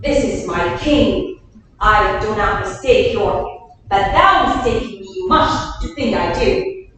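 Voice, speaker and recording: A woman's voice: expressive, takes it slowly, a bit bright, slight background noise. A woman playing a fierce, contemptuous loyalist lord in a staged Shakespeare reading, blunt and theatrically scornful. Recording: echoey, distant, rumbly room recording.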